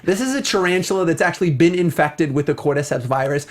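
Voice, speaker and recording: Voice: mid-range voice, fast. A review show co-host chatting casually and emphatically, with slangy asides and acted-out quotes.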